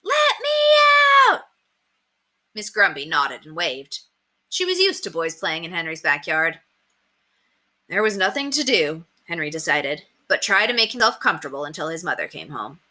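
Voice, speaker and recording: Bright, very animated woman reading a children's story. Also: low-pitched, normal speed. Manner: animated, dramatic emphasis. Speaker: read-aloud storyteller. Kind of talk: expressive children's story narration.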